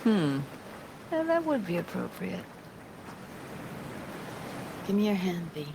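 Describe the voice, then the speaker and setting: A woman's voice: lowish voice, very animated, slow, gravelly, background noise, exaggerated voice. A woman playing a guarded but tender young techie in a video game, talking in an intimate, hesitant, slangy way. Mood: tender, wry. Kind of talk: naturalistic video game acting.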